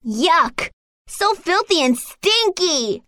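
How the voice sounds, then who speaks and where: A woman voicing a small, excitable female squirrel rescuer in a children's story, exclaiming in a childlike way. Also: very high voice, very slow, dry recording, studio quality, exaggerated voice. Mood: disgusted. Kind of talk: children's animated story acting.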